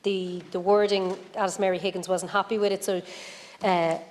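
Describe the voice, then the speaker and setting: A woman's voice: medium pitch, level tone, moderate pace, a little mellow, long reverb tail. A woman speaking as a senator in a parliamentary debate, hesitant and restarting often. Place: parliamentary chamber.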